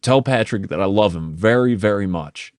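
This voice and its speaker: A man voicing a frantic man shouting an urgent warning to his family, swearing as he goes. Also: deep voice, moderate pace, no room sound, studio quality. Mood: frantic, yelling. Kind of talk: audiobook character voice.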